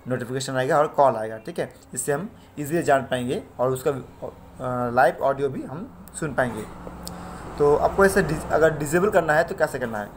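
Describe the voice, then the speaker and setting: A man's voice: mid-range voice, lively intonation, normal speed. An Indian man hosting a casual tech tutorial, talking to viewers in a repetitive, pleading way. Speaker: tech tutorial channel host. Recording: noisy amateur recording with background ambience.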